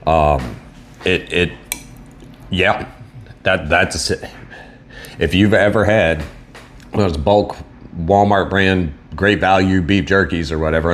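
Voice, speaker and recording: A man with a Southern American accent casually reviewing food on camera in a relaxed, rambling way. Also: sits low, a little hiss or hum. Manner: laid-back, rambling, self-deprecating. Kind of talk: casual solo video review.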